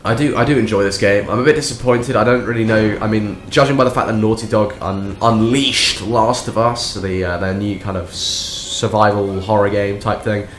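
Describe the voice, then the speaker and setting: A young man, croaky and nasal from a cold, rambling through a sarcastic gameplay commentary. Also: lowish voice, fairly even intonation, normal speed, hardly any pauses, slight background noise. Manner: rambling, sarcastic, many restarts. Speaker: gaming commentator. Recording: echoey room with faint game sound.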